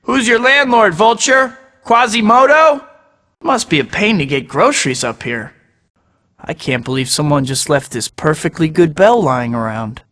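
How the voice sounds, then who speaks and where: A man's voice: high voice, long reverb tail. An actor playing a sarcastic young male superhero in a video game, full of teasing quips. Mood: taunting. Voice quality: bright. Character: wisecracking young male superhero, cocky and joking. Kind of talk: quippy game voice clips.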